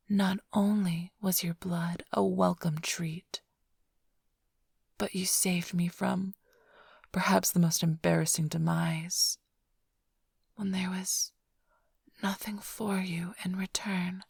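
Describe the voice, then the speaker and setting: A woman playing a flirtatious, smug vampire thief in an intimate ASMR roleplay, teasing and sly in a breathy, often whispered voice. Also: on the low side, darkish tone, dry recording, studio quality, exaggerated voice. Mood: intimate, fond. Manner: teasing, purring, theatrically sly. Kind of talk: ASMR roleplay, intimate close address to listener.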